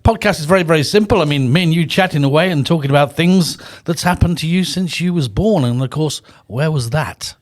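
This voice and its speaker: A man's voice: on the high side, a little fast, few pauses. A British man hosting a podcast with casual, informal, chummy chat and repeated phrases. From United Kingdom. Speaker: podcast host. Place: podcast studio.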